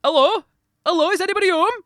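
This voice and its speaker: A woman voicing a naive, over-friendly male pilgrim with a Yorkshire accent in a folk tale, speaking loudly. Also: a little high, huge pitch swings, a little fast, breathy edge, no room sound, clean studio sound, voice pushed up, full character voice. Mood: calling out.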